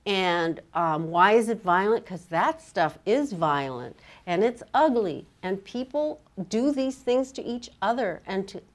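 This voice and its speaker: An older woman speaks in a medium-pitched voice during a literary conversation on stage. She is casual and emphatic, repeating phrases as she makes her points as a writer. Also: lively intonation, measured pace, few pauses. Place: on stage in an auditorium before an audience.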